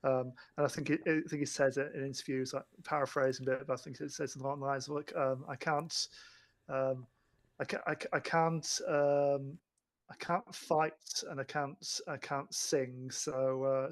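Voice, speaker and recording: A man's voice: mid-range voice, steady tone, moderate pace. A man co-hosting a casual film podcast, rambling through explanations with stammering restarts. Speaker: podcast co-host.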